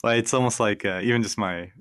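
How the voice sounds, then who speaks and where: Male co-host, thirties, very low voice, loose rambling chat, dry mic. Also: quick pace, fairly bright tone, smooth, no echo. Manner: rambling, laughs easily. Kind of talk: casual chat.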